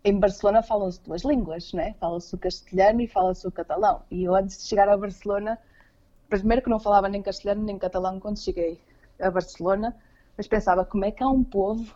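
A woman with a Portuguese accent chats in a soft-edged, mellow voice. She speaks as an interview guest, doubling back on words while looking for the right phrase. The recording is muffled and low in fidelity. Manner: hesitant, repeats words while searching for phrasing. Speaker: interview guest living abroad. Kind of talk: casual conversational interview.

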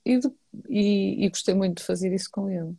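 A woman's voice: mid-range voice, a little fast, gravelly, dark tone, husky, no echo. A woman singer with a European Portuguese accent, talking casually as a guest and often repeating words and restarting her sentences. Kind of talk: casual conversation.

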